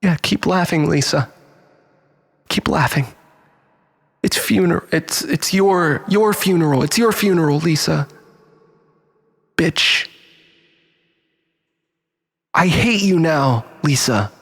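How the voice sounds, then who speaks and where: A man's voice: fairly high pitch, lively intonation, takes it slowly, vocal fry, long pauses, fairly bright tone, breathy edge, long reverb tail, exaggerated voice. A man playing a desperate, manipulative, self-pitying lover in a dramatic monologue, stammering and repeating himself as he swings between pleading and bitterness. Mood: wounded, spiteful.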